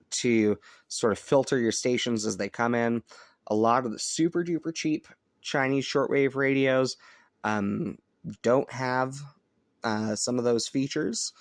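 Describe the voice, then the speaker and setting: Man, gravelly voice, dry close sound, casual review style, halting, thinking aloud. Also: mid-range voice, no room sound. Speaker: host of a shortwave radio review channel. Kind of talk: casual hands-on product review. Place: indoors at home, upstairs room.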